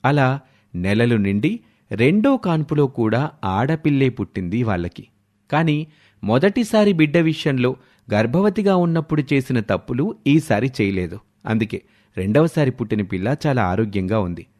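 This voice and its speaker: Animated male storyteller with swooping intonation. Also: medium pitch, moderate pace. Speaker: storyteller, narrator. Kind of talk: scripted moral-story narration.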